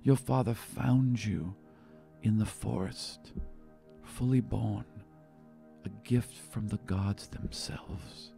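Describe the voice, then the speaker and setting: A man voicing a gentle, sorrowful father in a tabletop roleplay, speaking tenderly, solemnly and haltingly. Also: fairly low pitch, gravelly, soft background music. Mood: hesitant, tender. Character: gentle, sorrowful elven father revealing a painful secret.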